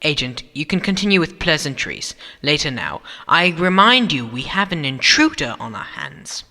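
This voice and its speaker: A man's voice: on the high side, big pitch swings, moderate pace, pushed and bright, in a large hall, exaggerated voice. A man playing a stern, officious Time Lord coordinator in a sci-fi audio drama, with a pompous, formal, commanding manner.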